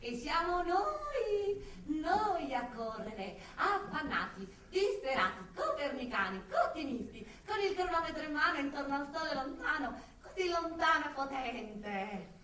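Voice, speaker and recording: A woman's voice: high voice, very animated, a little slow, a bit bright, slight background noise, heavily acted. A woman voicing an anguished, pedantic little male astronomer in a plaintive theatrical radio monologue. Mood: anguished lament. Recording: very echoey, distant, music behind.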